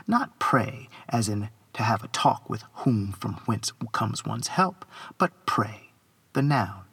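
Gravelly, husky man reading rhythmically. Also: mid-range voice, fairly bright tone. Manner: deliberate, rhythmic phrasing with emphatic wordplay. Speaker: poet reading own essay. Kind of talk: literary essay reading, performative spoken-word.

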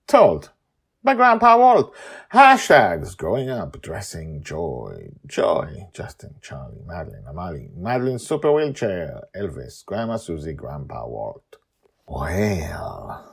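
A man's voice: low voice, wildly swooping pitch, measured pace, creaky, breathy edge. A man hosting a storytelling podcast, moving between casual talk and scripted narration with theatrical emphasis.

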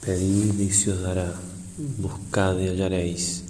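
A man, a priest, leading a guided prayer meditation in a solemn, measured, reverent way with long pauses. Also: low-pitched, flat delivery, mellow. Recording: long hall reverb, faint background noise.

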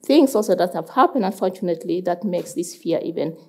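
A woman's voice: on the low side, expressive, brisk, warm tone. A woman on a discussion panel who works in child welfare, talking earnestly and often repeating words as she gathers her thoughts.

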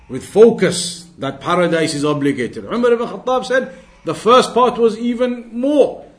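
A man giving an Islamic lesson as a religious teacher, reciting and explaining narrations emphatically, often repeating phrases for clarity. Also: high-pitched.

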